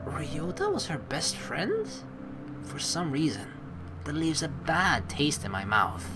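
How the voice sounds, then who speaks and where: Guy doing a lets play, casual n rambly, thinks out loud and stumbles a bit, music playing behind. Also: a little high, sing-song. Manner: rambling, reflective, halting. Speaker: let's play host. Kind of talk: casual game commentary mixed with reading dialogue aloud.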